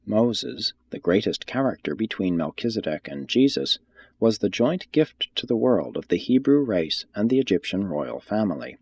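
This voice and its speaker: A man reading a religious text aloud as scripted narration, in a measured, formal manner. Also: on the low side, big pitch swings, a little mellow, breathy edge, no room sound. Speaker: audiobook reader.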